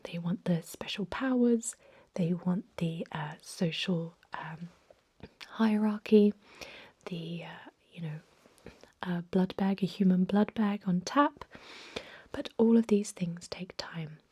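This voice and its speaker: Woman playing a whispering, slow-speaking ancient vampire medic. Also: lowish voice, full character voice. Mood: mildly disapproving. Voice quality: soft, breathy whisper. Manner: gentle, unhurried, hesitant explaining. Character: thousand-year-old female vampire medic, courteous, reassuring, old-fashioned purist. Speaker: emergency room clinician in a role-play. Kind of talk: whispered ASMR role-play.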